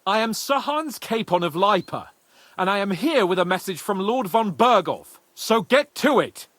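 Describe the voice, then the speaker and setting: An actor playing a proud, hot-headed young nobleman in a medieval video game, haughty and expressive. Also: high-pitched, moderate pace, dry recording. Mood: haughty, defiant. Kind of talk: video game cutscene acting.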